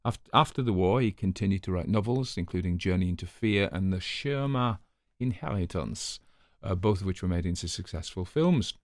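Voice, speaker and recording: Male host, lowish voice, informal book commentary, chatty, offhand asides, very dry sound. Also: normal speed, no room sound. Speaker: host and story reader.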